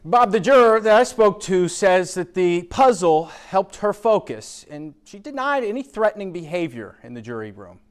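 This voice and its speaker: A man's voice: high-pitched, sing-song, normal speed, hardly any pauses, smooth, no echo. Male TV reporter, dry studio sound. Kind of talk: news report and interview.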